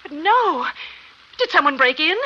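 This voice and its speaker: Anxious, devoted wife, high and bright, slow dramatic pleading, old-radio style. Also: female voice, big pitch swings, exaggerated voice. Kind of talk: 1940s-50s radio horror acting. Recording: muffled old radio recording.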